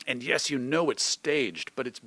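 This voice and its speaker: A middle-aged American man talking as an interview guest in an animated, thoughtful, digressive conversational way. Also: mid-range voice, moderate pace, no echo. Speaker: interview guest, magazine publisher and radio host.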